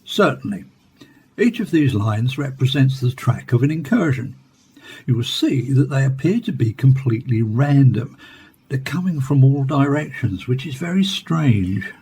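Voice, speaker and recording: A man's voice: mid-range voice, expressive, unhurried, warm tone, a little hiss or hum. An actor playing an earnest, precise university professor explaining his findings emphatically in a radio play interview. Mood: matter-of-fact.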